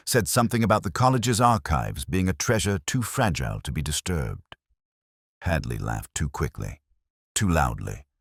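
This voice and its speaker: Casting: male actor for brooding, watchful inspector narrating an audio mystery. Extremely deep register, expressive intonation, measured and wry literary delivery. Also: no room sound, clean studio sound, exaggerated voice. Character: melancholy, watchful male police inspector recounting a case. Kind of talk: scripted first-person literary mystery narration.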